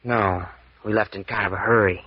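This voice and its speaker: A man's voice: fairly low pitch, steady tone, fairly quick, slightly rough, no room sound. An actor playing a defensive, grumbling young companion in an old-time radio serial, often evasive in his answers. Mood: guarded. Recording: muffled vintage radio recording.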